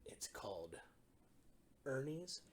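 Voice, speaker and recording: A woman storyteller reading a children's bedtime story expressively, in simple, deliberate phrases. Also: extremely low pitch, very animated, darkish tone, a little hiss or hum.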